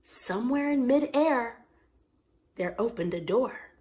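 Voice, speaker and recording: A woman telling a story to young children in an expressive, engaging way, with asides to her listeners. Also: fairly high pitch, slow.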